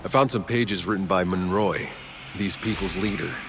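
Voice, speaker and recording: An actor playing a gruff soldier exploring an alien world in a video game cutscene. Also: male voice, sits low, normal speed. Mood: grave, intent. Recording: dramatic music behind the voice.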